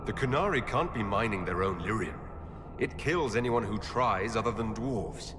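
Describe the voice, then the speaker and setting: A man's voice: fairly low pitch, expressive, moderate pace, lightly acted. A man voicing a resolute Inquisitor. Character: human male Inquisitor, a resolute leader. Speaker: player character. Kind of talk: video game voice acting. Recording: game audio with long reverb and loud ambient noise.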